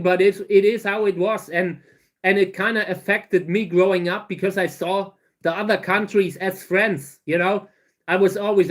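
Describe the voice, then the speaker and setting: A German man in his thirties with a German accent, answering viewer questions on a casual livestream, halting and restarting his sentences often. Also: high-pitched. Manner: halting, many restarts and fillers. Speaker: livestream host.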